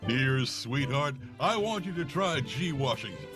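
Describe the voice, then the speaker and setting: Man playing a slow, smug radio-ad husband. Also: medium pitch, animated, music in the background, close to an everyday voice. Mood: smug, cheerful. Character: smug, affectionate husband in vintage radio commercial. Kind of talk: 1940s radio commercial acting.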